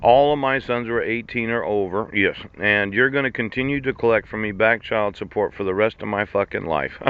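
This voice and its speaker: A man's voice: on the low side, brisk, clean tone. Sarcastic laughing man in his fifties. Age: 50s. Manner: sarcastic, laughing between lines, profane. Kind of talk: ranting monologue. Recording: dull lo-fi recording.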